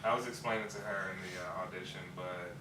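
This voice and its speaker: A man informally explaining something to a small group, haltingly, as if thinking aloud. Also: deep voice, fairly even intonation. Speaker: writer and filmmaker. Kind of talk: casual explanation in a group discussion. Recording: distant mic, echoey room, background noise.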